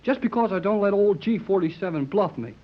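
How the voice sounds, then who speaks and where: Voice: mid-range voice, expressive, fairly quick, lightly acted. A performer playing a plucky, impatient American boy with brash, folksy slang, in 1930s radio serial style. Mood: glum defiance. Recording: dull old 1930s radio recording.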